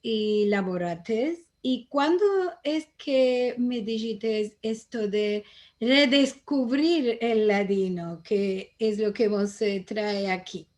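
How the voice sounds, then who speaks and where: Unhurried woman interviewer on a call. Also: medium pitch, a little mellow. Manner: unhurried, asks long probing questions. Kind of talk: conversational interview. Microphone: video call.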